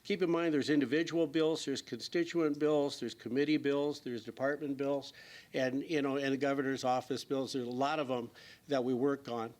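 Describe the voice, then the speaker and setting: A man's voice: mid-range voice, fairly even intonation, normal speed, darkish tone. A man, a state senator with a slightly scratchy voice, speaking plainly at a public forum. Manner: plainspoken, listing things with fillers.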